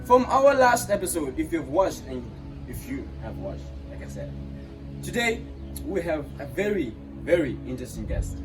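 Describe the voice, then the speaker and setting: A man's voice: a little high, sing-song, normal speed, rough recording. A man hosting a podcast, opening the show with upbeat, playful banter. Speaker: podcast host. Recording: loud music underneath.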